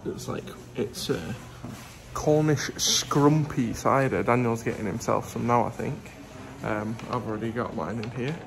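A man's voice: medium pitch, a little slow, gravelly, poor audio. A young man chatting casually to a handheld phone camera outdoors, giving a running commentary on a camping road trip with lots of fillers. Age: young adult. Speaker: vlogger. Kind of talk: casual travel vlog. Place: outdoors on a windy coast and campsite. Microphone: phone held at arm's length. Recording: loud wind buffeting the mic. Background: loud wind noise.

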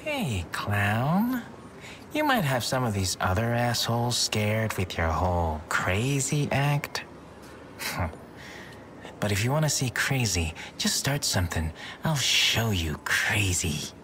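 An actress playing a short-tempered, swaggering villain in a video game, threatening and taunting. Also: female voice, rumbling low, big pitch swings, takes it slowly, fairly bright tone, heavily acted. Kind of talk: video game character acting. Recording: faint ambient noise behind.

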